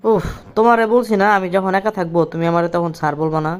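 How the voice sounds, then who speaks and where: Actor as fast-talking, irritable cheating husband. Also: male voice, high voice, clean tone, natural voice. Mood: coaxing. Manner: scolding, curt. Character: irritable, scornful, two-timing husband and office boss. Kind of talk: cartoon drama voice acting.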